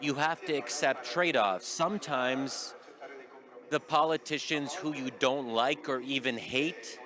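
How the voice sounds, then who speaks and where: Man speaking over crowd noise. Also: mid-range voice. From United States. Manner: measured, explanatory, careful. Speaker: panel speaker. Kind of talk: public panel discussion. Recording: clear crowd noise behind.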